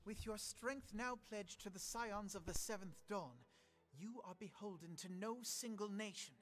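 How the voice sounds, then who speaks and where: A man's voice: high voice, moderate pace, fairly bright tone. An actor voicing an idealistic young male commander with formal, lofty, old-fashioned phrasing in a video game. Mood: rousing, solemn. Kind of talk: scripted game voice acting, oratory.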